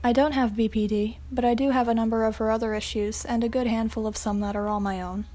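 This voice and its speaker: A woman's voice: on the high side, steady tone, fast, dark tone, a little hiss or hum. A woman in her twenties speaking earnestly about a show. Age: 20s.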